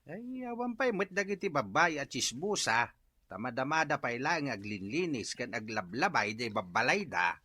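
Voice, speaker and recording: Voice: medium pitch, big pitch swings, normal speed, bright, forceful tone, breathy edge, full character voice. An actor with an Ilocano accent voicing a chatty village neighbour, exclamatory and conversational, in a radio drama.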